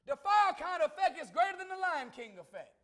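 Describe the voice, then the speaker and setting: A man preaching a sermon to a congregation, calling out to them and building to shouts. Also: very high voice, lively intonation, brisk. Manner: call-and-response preaching, rising to shouts. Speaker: minister.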